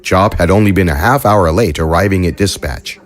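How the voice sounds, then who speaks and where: A narrator reading an audio drama story in a measured, even way. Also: low-pitched. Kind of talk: scripted narration.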